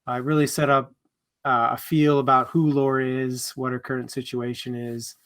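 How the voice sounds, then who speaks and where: A man's voice: mid-range voice, level tone, moderate pace, fairly bright tone. A man co-hosting a live book discussion stream, talking casually and in a friendly, informal way to viewers.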